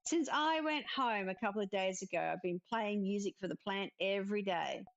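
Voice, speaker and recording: A woman's voice: mid-range voice, normal speed, highs cut off, soft background music. A woman reading visual novel dialogue aloud expressively, with casual commentary of her own. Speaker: let's-player narrator.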